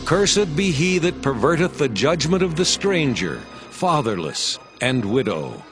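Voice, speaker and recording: An actor playing a Levite priest who sternly proclaims curses before a crowd, in a dramatized scripture reading. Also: male voice, fairly high pitch, very animated, a little slow. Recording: music bed beneath voice.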